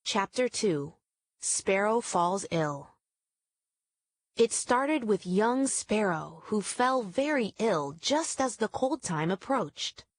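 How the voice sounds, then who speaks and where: A woman's voice: mid-range voice, lively intonation, slow pace, dry recording. Woman reading a children's story, dry sound. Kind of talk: scripted children's story read-aloud.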